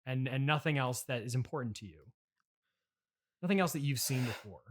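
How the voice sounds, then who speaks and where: Male comedy interviewer, animated intonation, halting, stammering, awkward, defensive, conversational. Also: mid-range voice.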